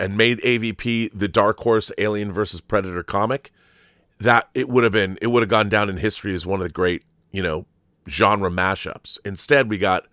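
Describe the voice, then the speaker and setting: A man's voice: lowish voice, a bit bright, clear, resonant tone, dry recording, clean studio sound. A middle-aged man hosting an unscripted film commentary show, talking in an emphatic, digressive, opinionated fan style.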